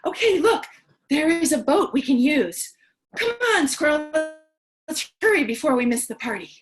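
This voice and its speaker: An actress playing a lonely, anxious, chatty survivor of the apocalypse in a staged reading, with a dramatic, rambling delivery. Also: female voice, sits high, big pitch swings, normal speed. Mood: eager. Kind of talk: staged reading of a theatrical fable.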